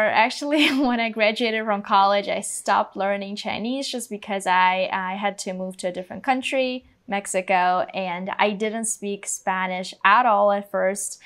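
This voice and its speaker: Young non-native English-speaking woman, mid-pitched. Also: a bit bright. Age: 20s. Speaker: guest, English teaching video creator. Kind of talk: casual interview chat.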